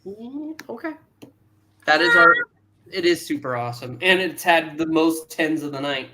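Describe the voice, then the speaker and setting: Bright-voiced livestream co-host reading votes. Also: medium pitch, expressive, normal speed. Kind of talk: casual livestream panel chat.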